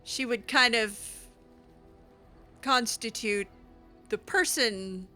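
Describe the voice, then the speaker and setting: A woman voicing a kind, awkward young mage in improvised video game roleplay, speaking hesitantly and gently. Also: on the high side, slow pace, soft background music.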